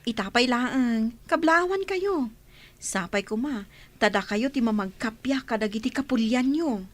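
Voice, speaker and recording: A woman acting an excitable, inquisitive young student in a radio drama. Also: a little high, measured pace. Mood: teasing, curious. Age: young adult. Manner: animated, inquisitive. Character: excitable, nosy young female student friend.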